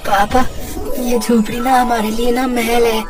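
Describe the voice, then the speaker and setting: A woman's voice: on the high side, level tone, unhurried, distant mic, over music, close to an everyday voice. A woman voicing a small, sweet, eager village boy in a cartoon, earnest and childlike.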